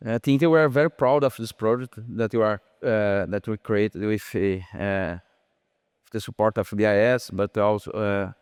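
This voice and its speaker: A man from Brazil with a Brazilian Portuguese accent, a bank executive answering on a conference panel in his second language, pausing often and searching for words. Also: lowish voice, a little mellow, clean tone, no echo, clean studio sound. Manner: halting, searching for English words, many fillers and restarts.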